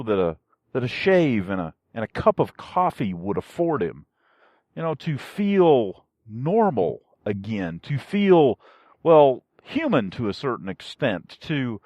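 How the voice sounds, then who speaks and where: A man hosting a casual solo podcast, rambling in a halting, folksy way as he searches for words. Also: mid-range voice, darkish tone, dry recording. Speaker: podcast host. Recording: dull, dry lo-fi audio.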